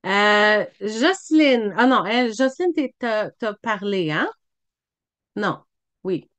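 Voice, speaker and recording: A woman's voice: mid-range voice, animated, slow, a bit bright, no room sound. A woman leading an online video meeting, handing the floor to each speaker and praising their answers. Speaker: meeting facilitator. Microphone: computer mic on video call.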